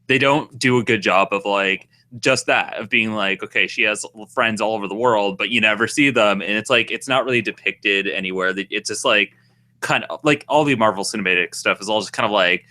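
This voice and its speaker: A man's voice: fairly low pitch, a little fast, sharp tone. A young man with a New England accent, co-hosting a casual podcast chat, rambling with lots of fillers and restarts. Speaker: podcast co-host.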